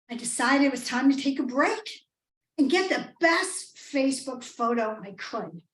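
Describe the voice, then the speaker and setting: A middle-aged American woman giving a rehearsed, humorous storytelling speech in a theatrical manner with dramatic pauses. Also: high-pitched. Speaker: speech contestant. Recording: roomy, echoing live speech pickup.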